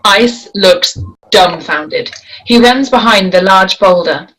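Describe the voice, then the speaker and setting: A woman narrates stage directions at a screenplay read. Her voice sits at an average pitch. The delivery is scripted narration. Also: slow pace, a bit bright, low-quality recording.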